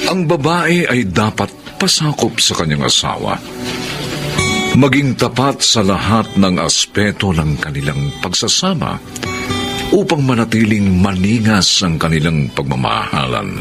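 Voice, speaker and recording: A man's voice: deep voice, sing-song, unhurried, gravelly. A man with a Filipino accent announcing a radio drama in a solemn, theatrical style. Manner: theatrical, grand. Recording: loud music behind the voice.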